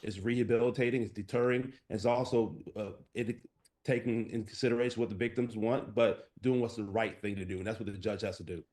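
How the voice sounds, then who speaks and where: A man giving deliberate legal analysis on a news program. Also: on the low side, fairly even intonation, a little mellow. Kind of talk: TV legal commentary.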